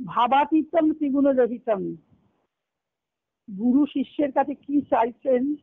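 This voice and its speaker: A woman's voice: high-pitched, slow, vocal fry, dry recording. A woman giving a devotional discourse as a spiritual teacher, explaining by asking and answering her own questions and quoting Sanskrit verses. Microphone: conference call line. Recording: phone-like narrow-band conference call.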